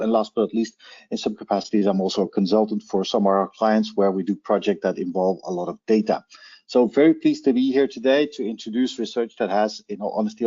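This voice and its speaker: A low-pitched man speaks English with a Belgian accent, choosing his words carefully and sometimes halting. He introduces himself as a webinar panelist. The sound is muffled and lo-fi, like a video call. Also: mellow, husky. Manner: careful, slightly halting non-native English. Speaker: panelist, HR evangelist.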